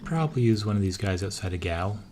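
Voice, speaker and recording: Hoarse man talking through a strategy game. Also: on the low side, animated, fast, darkish tone, slight background noise. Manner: easygoing, thinking aloud with many "uh"s. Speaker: let's-play gamer. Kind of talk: casual strategy game commentary.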